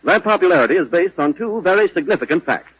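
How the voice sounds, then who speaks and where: A man delivers a formal, emphatic 1940s radio advertisement. His voice is fairly high with a husky edge. The recording is dry and dull, like old radio. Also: animated, quick pace, fairly bright tone, dry recording. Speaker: commercial announcer.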